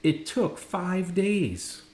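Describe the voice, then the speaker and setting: Guy reading a children's story, dramatic pauses, up and down pitch, roomy echo, bit of noise. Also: sing-song, darkish tone. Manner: dramatic, with pauses and stressed words. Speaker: storybook reader. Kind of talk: expressive read-aloud of a children's story.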